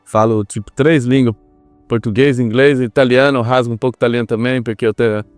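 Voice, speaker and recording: A man's voice: medium pitch, fairly even intonation, a little fast, clear, resonant tone, dry recording, faint music behind. Earnest Brazilian man, dry close sound. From Brazil, small town. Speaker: podcast guest. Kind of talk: personal storytelling in a podcast interview.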